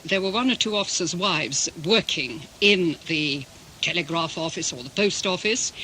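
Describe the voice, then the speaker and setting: An older woman, telling her story in a measured, articulate radio interview. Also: lowish voice, pushed and bright, slight background noise, rough recording. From Britain. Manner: measured, articulate, with careful restarts.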